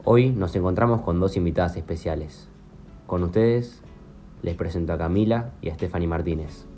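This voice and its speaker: Male co-host, very deep voice, friendly questioning, music clearly behind. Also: moderate pace. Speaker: podcast co-host. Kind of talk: interview hosting.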